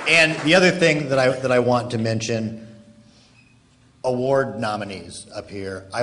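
A man's voice: fairly low pitch, a bit bright. A man hosting an informal interview panel on stage in front of a live room. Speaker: panel host. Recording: faintly noisy live stage recording.

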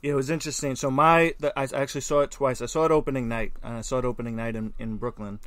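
A man's voice: medium pitch, steady tone, quick pace, fairly bright tone, no echo. Man in casual podcast chat, dry sound. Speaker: podcast co-host.

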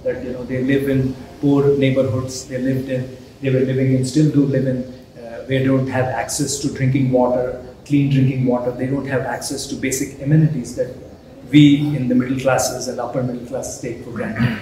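Indian guy lecturing at a podium, lots of uhs, dark flat voice, big echoey hall w/ faint hiss. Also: medium pitch. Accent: Indian English. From India (Hyderabad). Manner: halting, many "uh" fillers and restarts. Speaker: guest lecturer, professor. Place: large hall with a banner backdrop. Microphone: podium mic, filmed from a distance.

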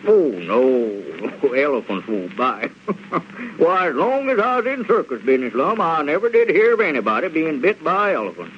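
An actor playing a coaxing, smooth-talking rural circus manager with a Southern US accent, in old radio comedy style. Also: male voice, a little high, sing-song. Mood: reassuring, flattering. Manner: folksy, persuasive, halting with "well, now". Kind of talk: 1930s-40s radio comedy acting. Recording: muffled, noisy vintage radio recording.

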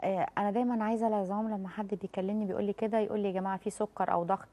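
A woman's voice: medium pitch, fairly even intonation, dark tone. A woman in her thirties with an Egyptian accent, giving medical advice as a guest on a TV talk show, reassuring and affectionate with callers. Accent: Egyptian Arabic. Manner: fast, reassuring, calls callers dear. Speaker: doctor guest. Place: TV studio.

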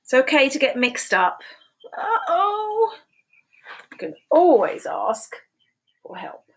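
A woman in her fifties reading picture books aloud to preschoolers in a slow, sing-song storytime voice, recorded on a webcam in a small room at home. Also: high-pitched, big pitch swings. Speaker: children's book reader. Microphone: webcam at desk.

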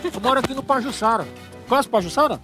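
A loud, intrusive busybody pushes into the conversation in an exaggerated Northeastern Brazilian accent, as a man puts it on. He talks outdoors at a street bus stop, with music beneath the speech. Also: very high voice, animated, quick pace. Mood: nosy. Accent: put-on Northeastern Brazilian. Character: nosy, pushy local man at a bus stop with a fake regional accent. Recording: TV segment with music behind.

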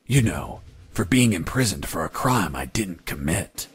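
An actor playing a cocky captured commando pilot in a video game, telling his story in a deadpan, wry way. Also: low voice, a little slow, husky, full character voice. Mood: deadpan, matter-of-fact. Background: background music.

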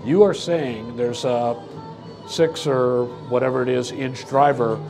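Male host, 60s, medium pitch, measured interviewer delivery, music underneath. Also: fairly even intonation, vocal fry. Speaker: interviewer and magazine content officer. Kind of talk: interview questions and presenting to viewers.

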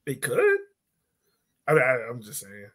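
A man's voice: mid-range voice, huge pitch swings, a little slow, fairly bright tone. A man in his thirties hosting a casual sports show over a video call, with a playful, teasing manner. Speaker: podcast host. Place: room at home, on a video call.

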